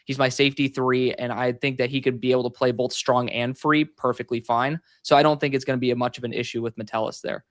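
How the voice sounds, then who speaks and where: A young man talking casually as a guest on a live show, relaxed and thinking aloud with fillers and easy laughs. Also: medium pitch, quick pace, few pauses, dry recording, studio quality. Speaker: guest mock draft analyst.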